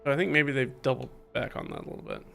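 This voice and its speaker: A man's voice: medium pitch, huge pitch swings, fast talker, gravelly, fairly bright tone. A man giving casual, musing playthrough commentary on a game, with hesitant asides as he thinks aloud. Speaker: game streamer. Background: music.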